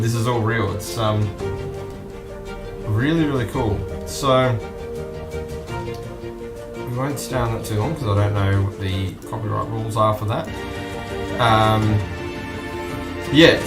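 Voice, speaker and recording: Echoey Australian man, low-key news streamer. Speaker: host of an online news commentary stream. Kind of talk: casual off-the-cuff commentary on news clips. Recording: roomy echo with music behind.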